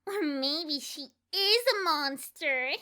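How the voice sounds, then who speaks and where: Mean, snobby cartoon schoolgirl pony: shrill, slow, sneering taunts. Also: pushed and bright, full character voice. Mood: mocking.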